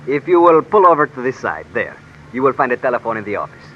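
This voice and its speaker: A man's voice: medium pitch, big pitch swings, fast talker, phone-quality sound, a little hiss or hum, close to an everyday voice. An actor playing a courteous male border inspector in an old radio drama. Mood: friendly. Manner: courteous, helpful.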